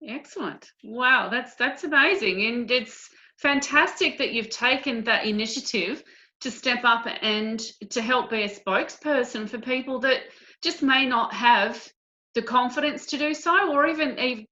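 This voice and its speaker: An upbeat woman hosts an interview with a bright, sharp-edged voice that sits a little high. She speaks in an encouraging, casual way. The audio is dull and muffled. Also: unhurried. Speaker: event host and interviewer.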